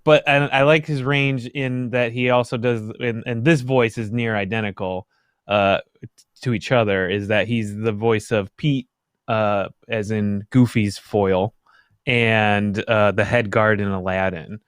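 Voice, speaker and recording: A man, chatting casually and haltingly, often restarting his words. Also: lowish voice, fairly even intonation, clear, resonant tone, no room sound, clean studio sound. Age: 30s. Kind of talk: casual fan chat.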